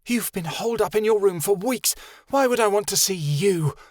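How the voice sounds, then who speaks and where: A man's voice: sits high, animated, normal speed, dry recording, clean studio sound, voice pushed up. A man voicing a desperate, scheming young witch girl who pleads and hisses. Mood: snarling. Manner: pleading, clingy, turning to hissing rage.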